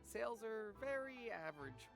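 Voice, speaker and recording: A woman's voice: a little high, sing-song, normal speed, gravelly. A woman playing a modest, polite drink vendor in a video game, hesitant and apologetic in her deference. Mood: politely sheepish. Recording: background music under the voice.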